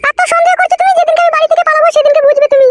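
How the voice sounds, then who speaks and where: Piercingly shrill cartoon village wife. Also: female voice, fairly even intonation, rapid-fire, very noisy. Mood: defiant. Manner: shrill, protesting, pleading. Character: defiant, whining young village wife, shrill and aggrieved. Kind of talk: comedy cartoon dialogue.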